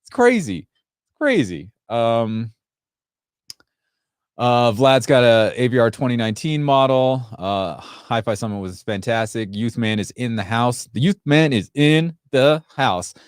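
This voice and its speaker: A man's voice: mid-range voice, very animated, a little slow, clear, resonant tone, dry recording, studio quality. A man born in Sri Lanka, hosting a casual tech livestream and reading viewer comments, rambling with lots of fillers. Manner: chatty, rambling, many fillers and restarts.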